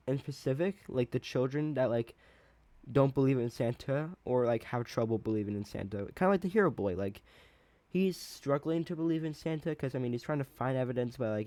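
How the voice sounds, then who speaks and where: A man giving casual, rambling reaction commentary as a video host, often halting and repeating himself. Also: medium pitch, dark tone, dry recording.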